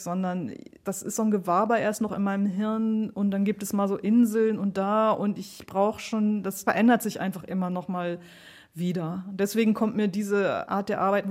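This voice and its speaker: A woman's voice: medium pitch, a little mellow. A woman speaking spontaneously and informally in an interview, thinking aloud in loosely strung, reflective thoughts. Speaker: interviewed radio play maker, composer and performer.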